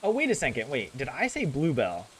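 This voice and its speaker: A man's voice: mid-range voice, huge pitch swings, brisk, a bit bright, slight background noise. A young man with a general American accent chatting casually with his viewers while he plays a game, rambling and correcting himself as he goes. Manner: rambling, chatty, self-correcting, laughs often. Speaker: gaming streamer.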